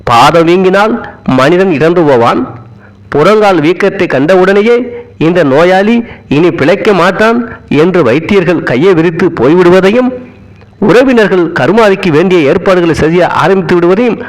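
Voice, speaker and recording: A man with a high, light voice delivers a formal political oration. He speaks in short, deliberate phrases and keeps a stately, measured manner throughout. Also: animated, low-quality recording. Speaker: speech reader.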